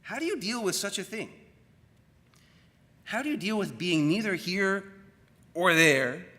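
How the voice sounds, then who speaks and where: A middle-aged man with a Canadian accent giving a public academic lecture in a reflective, deliberate manner, repeating phrases for effect. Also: high voice, lively intonation, bright, forceful tone. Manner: reflective, rhetorical questions and deliberate repetition. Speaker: university lecturer in media studies. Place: large room at a university colloquium. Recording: echoey hall with long reverb.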